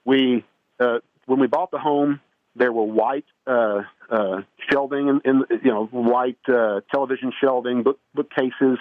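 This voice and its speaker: A man's voice: medium pitch, steady tone, unhurried, no echo. A man giving home improvement advice as a radio show guest, talking in a folksy, rambling way with many restarts. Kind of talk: casual radio interview. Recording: tinny phone-line sound.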